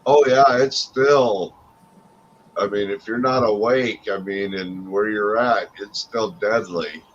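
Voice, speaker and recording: A deep-voiced older man from Wisconsin chatting casually as a livestream co-host, joking and restarting his sentences. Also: fairly even intonation, slow pace. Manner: laughs often, jokes, trails off and restarts. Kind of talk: casual chat. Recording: slightly dull sound with faint noise.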